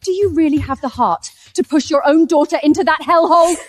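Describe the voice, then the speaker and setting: A woman's voice: high voice, fairly quick, lightly acted. A woman playing a domineering, middle-aged noble stepmother in a melodramatic period drama, with an imperious, sneering delivery. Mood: indignant.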